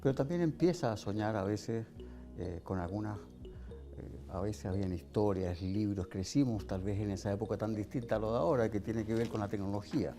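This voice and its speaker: Man, Chilean accent, dark mellow timbre, lowish pitch, chatty interviewer, conversational. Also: brisk, soft background music. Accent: Chilean Spanish. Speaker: interviewer, TV host.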